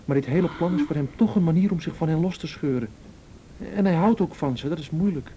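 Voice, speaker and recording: An actor playing a thoughtful, steady, quietly nervous conspirator in a radio play, speaking in a measured conversational way. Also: male voice, natural voice. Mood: reflective. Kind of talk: Dutch radio play acting. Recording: dull, noisy radio recording with rumble.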